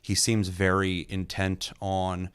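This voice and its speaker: A young man with a low-pitched voice talks casually about a film he enjoyed. He is loose and enthusiastic, full of ums and restarts, and now and then throws in a curse word. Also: completely flat delivery, slow, fairly bright tone, clean tone. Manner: loose and enthusiastic, many fillers, occasional swearing. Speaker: movie reviewer, podcast host. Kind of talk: casual film review chat.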